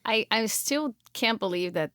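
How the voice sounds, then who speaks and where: A woman's voice: on the high side, lively intonation, unhurried, fairly bright tone, no echo. A middle-aged woman from Brazil who speaks. She is a podcast guest speaking thoughtfully and kindly. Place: podcast studio.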